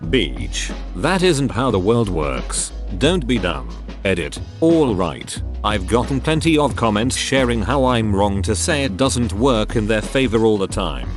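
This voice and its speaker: A man reading forum posts aloud as a narrator, even and methodical, spelling out abbreviations letter by letter. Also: lowish voice. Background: soft background music.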